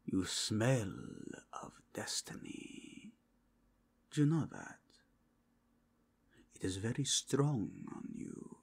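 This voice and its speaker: A man voicing a suave, probing cardinal of a fantasy Inquisition in an ASMR roleplay, measured and formal, with a breathy, whispery delivery. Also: fairly low pitch, sing-song, heavily acted. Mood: persuasive, hushed.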